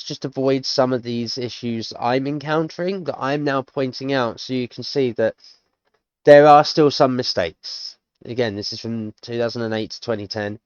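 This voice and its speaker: British man, 30s, casual hesitant self-study commentary; smooth tone, dry dull recording. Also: mid-range voice, no echo. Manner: rambling, hesitant, full of restarts. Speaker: self-taught language learner streaming. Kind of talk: casual thinking-aloud commentary.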